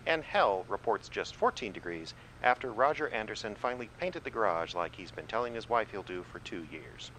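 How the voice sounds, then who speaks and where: A small-town male newsreader played by an actor reads mock headlines with a straight face. His voice is low, over clearly audible background noise. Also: a little fast, hardly any pauses, rough recording. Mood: deadpan reporting. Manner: deadpan. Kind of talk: scripted mock news report.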